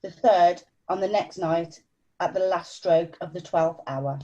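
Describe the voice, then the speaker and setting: A woman's voice: lowish voice, a little slow, warm tone, lightly acted. A woman playing a tormented, chained ghost who gives grave warnings, read over a dull, distant video call.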